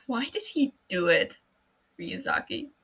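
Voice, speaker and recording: A woman reading a young male detective's lines, strained and pleading, at times sinking to a whisper, in a slow, husky voice. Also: extremely high pitch, very animated, a bit bright, pitched above the performer's own voice, exaggerated voice. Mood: grieving.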